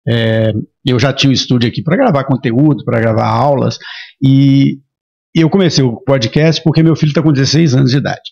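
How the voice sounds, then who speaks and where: A middle-aged man from hosts a casual podcast chat from that region. His voice sits at an average pitch with a lot of gravelly fry. The recording is a bit muffled and lo-fi. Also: brisk, darkish tone. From Minas Gerais, Brazil. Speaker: podcast host.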